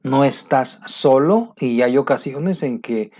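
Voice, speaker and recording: Middle-aged Latin American man from a teaching video, conversational, plenty of filler pauses, mellow tone, muffled lo-fi sound. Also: medium pitch, expressive. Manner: conversational, pausing often with fillers. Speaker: English teacher. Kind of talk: motivational video lesson. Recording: muffled lo-fi recording.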